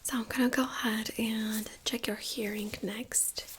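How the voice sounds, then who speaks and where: A woman speaking in a breathy whisper, gently and reassuringly, as a doctor in an ASMR medical exam role-play. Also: a little high, steady tone, slight background noise. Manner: gentle, reassuring, unhurried clinical explaining.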